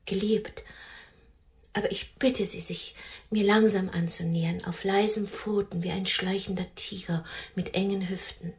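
An actress as a lonely woman getting on in years, nervous and melodramatic, in a radio play. Her voice sits at an ordinary female pitch and slips into breathy whispers as she delivers theatrical romantic pleas. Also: steady tone, measured pace, a little mellow, natural voice. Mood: seductive. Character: nervous, lonely aging woman rehearsing romantic lines for blind date. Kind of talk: radio drama acting.

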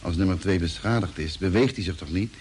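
A man's voice: low voice, a little fast, a little mellow, close to an everyday voice. An actor playing a sceptical, authoritative space crewman with a precise, matter-of-fact delivery in mid-century radio drama style. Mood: sceptical, dismissive. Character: Mitch, sceptical, authoritative male space engineer. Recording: noisy old radio play recording.

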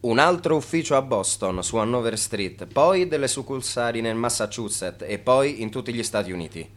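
Actor as a mid-pitched, declamatory chronicler. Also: male voice, animated, normal speed, close to an everyday voice. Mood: factual, declamatory. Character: declamatory male chronicler listing investors and sums. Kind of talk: radio play narration, list-like announcing.

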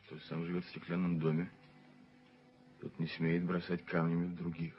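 An actor in an old radio play playing an earnest, proud young gentleman doctor who is easily abashed. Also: low-pitched, completely flat delivery, moderate pace, close to an everyday voice. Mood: humbled, regretful. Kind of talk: 1970s Soviet radio play acting. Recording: muffled old radio recording.

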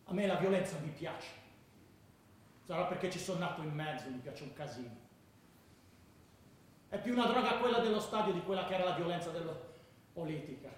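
A man's voice: a little high, slight background noise, natural voice. An actor playing a hardened male ultra and former militant in a radio drama, making short, emphatic declarations. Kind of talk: theatrical radio drama monologue. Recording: very echoey room.

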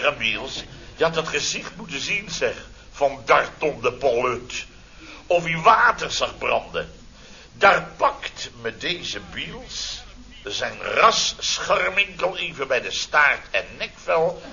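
Blustering male boss for radio comedy: forceful, swooping delivery, self-important, dull old recording. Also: a little high, creaky, slight background noise, exaggerated voice. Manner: theatrical, exclamatory, sarcastic, mimics others in his telling.

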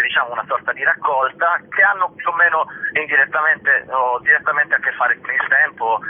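Brief: male author-actor as interview guest, self-deprecating and jokey, slightly husky voice, narrow telephone sound. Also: medium pitch. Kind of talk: casual interview chat. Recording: tinny phone line, muffled detail.

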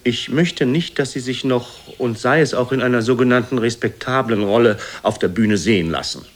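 An actor playing a vain poet reproving his mother with measured formality, in radio play style. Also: medium pitch, close to an everyday voice. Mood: disapproving. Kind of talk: German radio play acting.

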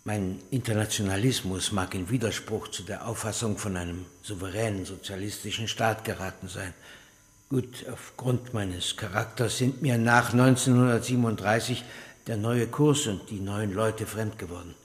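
An actor in a German radio drama playing a stubborn, earnest communist prisoner under interrogation. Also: male voice, fairly low pitch, steady tone, moderate pace, lightly acted. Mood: weary, conceding. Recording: roomy echo, long reverb.

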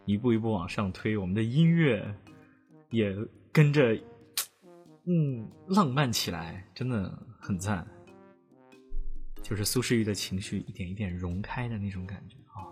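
A man's voice: on the low side, animated, normal speed, darkish tone, soft background music. A man, a voice actor guest, giving his thoughts in a casual chat.

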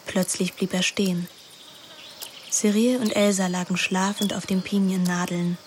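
An actress playing an introspective young woman narrating a literary radio play in a measured, languid manner. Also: mid-range voice, steady tone, darkish tone, a little hiss or hum, lightly acted. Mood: tense, hurt. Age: young adult. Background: music.

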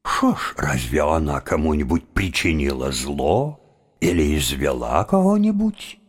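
A curious seminary philosopher in an audio drama. The voice is extremely low and husky, drawing out probing questions with big swoops in pitch. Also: male voice, sing-song, unhurried, full character voice. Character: inquisitive young male seminary philosopher among Cossacks. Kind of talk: theatrical audio play acting.

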